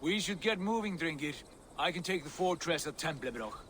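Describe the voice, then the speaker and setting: Composed, higher-voiced Viking leader. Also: male voice, expressive, normal speed, a bit bright, slight background noise. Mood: decisive. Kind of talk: dramatic video game acting.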